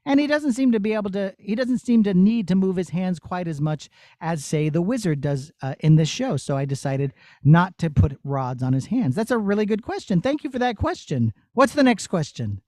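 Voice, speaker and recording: A man's voice: high-pitched, lively intonation, moderate pace, few pauses, a little mellow, no room sound, clean studio sound. A man presenting as a puppeteer to children, explaining step by step in simple, child-friendly words. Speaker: puppeteer presenting his show. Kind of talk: demonstration and Q&A for children. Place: puppet theatre studio.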